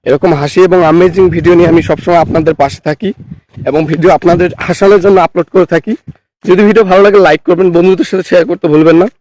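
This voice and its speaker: A man's voice: high voice, darkish tone, low-quality recording. A man hosting an online channel, delivering a scripted sign-off to viewers. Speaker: channel host.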